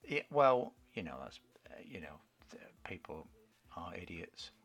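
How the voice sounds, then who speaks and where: A man's voice: low voice, sing-song, measured pace, a bit bright, slightly rough. A middle-aged British man co-hosting a casual radio show, rambling and bantering as he chats about what he likes. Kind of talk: casual chat about films and books. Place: home studio in a book-lined study.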